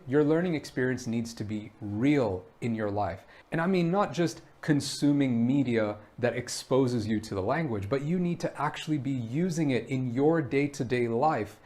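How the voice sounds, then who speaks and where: A man from Brunei, an English teacher, explaining his learning method to camera in a clear, steady way with rhetorical questions. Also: mid-range voice. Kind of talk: direct-to-camera course introduction.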